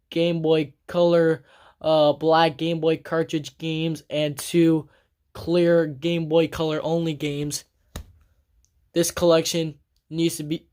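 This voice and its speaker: A game collector vlogging casually about their collection, talking in a halting, rambling way with many restarts and blunt grumbles. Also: medium pitch. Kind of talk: casual collection show-and-tell vlog. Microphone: camera on a tripod.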